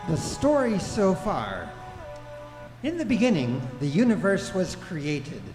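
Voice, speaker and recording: Echoey radio play narrator, measured man performing. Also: high voice, huge pitch swings, over music, heavily acted. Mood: wry, grand. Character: narrator of a comic science-fiction guidebook radio play. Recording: echoey hall, clear background noise.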